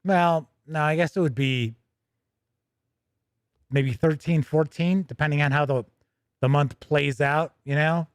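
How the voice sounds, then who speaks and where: A man speaks as a livestream host in a fairly high register. His delivery is conversational and loose, wandering and doubling back as he chats with viewers. The sound is very dry, with no room echo around the voice. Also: fairly even intonation, measured pace, long pauses. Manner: rambling, conversational, self-interrupting. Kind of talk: casual livestream chat, answering viewer questions.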